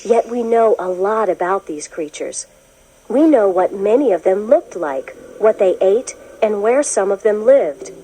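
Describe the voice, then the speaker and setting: A woman narrating a children's educational book, reading in a clear, measured, explanatory way as if to young listeners. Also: medium pitch, expressive, dark tone, slight background noise, low-quality recording. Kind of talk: scripted storybook narration.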